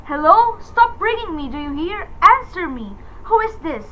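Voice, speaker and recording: A woman's voice: very high voice, big pitch swings, moderate pace. An actress playing a nervous, imperious married woman in a suspense radio drama, indignant, demanding and melodramatic as panic takes hold. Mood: frantic. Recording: dull lo-fi recording with faint noise.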